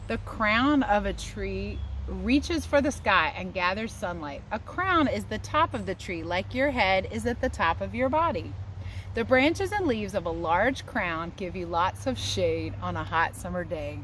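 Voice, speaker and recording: A woman with a mid-pitched voice reads to young children as a teacher would. She breaks off for chatty asides and puts questions to her listeners. She is recorded in a yard, with light outdoor noise behind her. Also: lively intonation, a little slow, a bit bright. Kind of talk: read-aloud lesson for young children.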